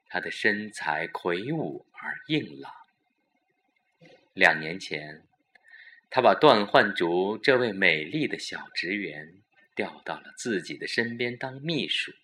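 A man narrating a scripted audio drama with measured, suspenseful phrasing and a dramatic delivery. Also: deep voice, pushed and bright.

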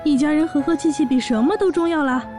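A woman voicing an excitable young orc wife and daughter, narrating and chatting in a fan audio drama. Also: sits high, fast talker, over music, natural voice. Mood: chatty, coaxing.

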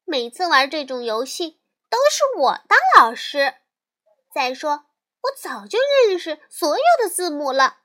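A woman voicing an angry, bossy little girl bear cub who shouts indignantly. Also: extremely high pitch, a little slow, voice pushed up.

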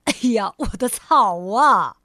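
A woman's voice: fairly low pitch, moderate pace, vocal fry, slightly rough, no room sound, clean studio sound, voice pushed down. A woman voicing a fussy, tidy grown man who is easily upset, exclaiming indignantly in a children's storybook reading.

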